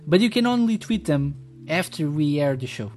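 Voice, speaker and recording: A man's voice: a little high, sing-song, normal speed, a little mellow, faint music behind. A man hosting a podcast in casual joking chat, teasing his co-host and often stumbling over repeated words.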